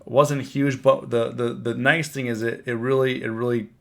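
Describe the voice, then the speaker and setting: A man with a cold, hoarse and rambling, recapping his trades on a casual live stream and often repeating himself. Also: medium pitch, level tone, normal speed, a bit bright. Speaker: options trading live streamer. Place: home, on camera.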